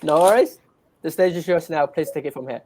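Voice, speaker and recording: A man's voice: fairly high pitch, brisk, a little mellow. A man hosting an online conference, introducing speakers in an upbeat, announcer-like way. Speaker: event host.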